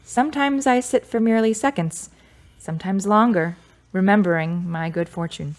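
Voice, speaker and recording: An actress plays a self-assured woman in a radio drama, gently ending a romance. Her mid-pitched voice is articulate and wry, and she speaks in full, frank sentences. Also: moderate pace, close to an everyday voice. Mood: tender, earnest.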